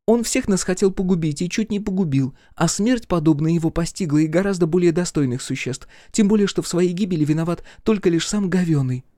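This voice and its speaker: Scornful centauress, played by a man: storybook fantasy, sharp tongue, indignant delivery, raised pitch. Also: brisk, no echo, clean studio sound, lightly acted. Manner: indignant, derisive.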